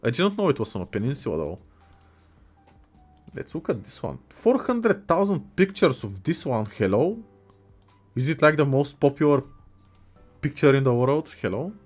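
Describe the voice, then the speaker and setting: A man's voice: mid-range voice, very animated, normal speed, pauses often, soft background music. A young man hosting a casual livestream, chatty and jokey as he reacts to viewers' messages. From Bulgaria. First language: Bulgarian. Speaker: livestream host. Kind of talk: casual livestream chat, reading chat aloud.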